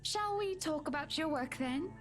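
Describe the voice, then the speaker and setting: Visual novel role: motherly, nosy British married lady, high voice, genteel and chatty, music behind. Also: expressive, moderate pace. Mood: polite curiosity. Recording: game dialogue over music.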